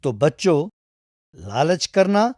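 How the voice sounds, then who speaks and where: Children's story narrator, scripted read, middle pitch, very animated, instructive delivery. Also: takes it slowly, no echo, studio quality.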